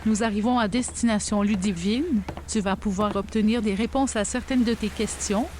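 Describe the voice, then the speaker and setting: A woman playing a brisk, businesslike kidnapper in an audio drama, giving curt directions. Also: medium pitch, steady tone, a little mellow, slight background noise, lightly acted.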